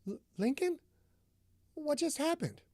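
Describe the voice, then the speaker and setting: A woman narrator voicing a volatile, bitter young woman who shouts in high, clipped bursts. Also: sing-song, unhurried, dry recording, studio quality. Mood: dazed.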